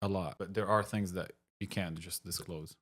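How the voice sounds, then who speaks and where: A man from Syria, middle-aged, speaks in a very low register. He gives short, blunt answers to the interviewer's questions in a relaxed chat. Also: moderate pace. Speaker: cafe owner, guest. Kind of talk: casual interview talk.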